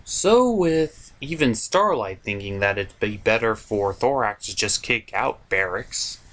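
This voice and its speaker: A man's voice: on the low side, animated, a little slow, fairly bright tone. A man giving a comedic recap as a cartoon reviewer, sarcastic and mocking in manner.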